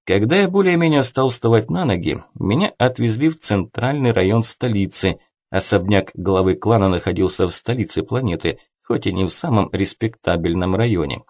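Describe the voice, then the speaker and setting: Low-pitched man narrating an audiobook. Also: lively intonation, normal speed, a little mellow. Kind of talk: scripted first-person novel narration.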